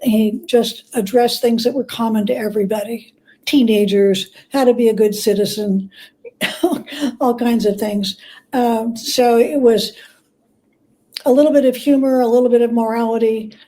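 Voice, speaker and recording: A woman with a fairly high, very soft and mellow voice talks as an interview guest. She explains things through conversational anecdotes, often stopping to rework a phrase. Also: steady tone, normal speed. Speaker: guest, biographer.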